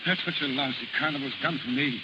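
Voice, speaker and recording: An actor playing an embittered, defiant carnival barker in a 1930s radio drama. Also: male voice, medium pitch, fast, lightly acted. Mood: anguished, resentful. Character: bitter, vengeful carnival barker, quitting in anger. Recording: noisy, muffled 1930s radio broadcast.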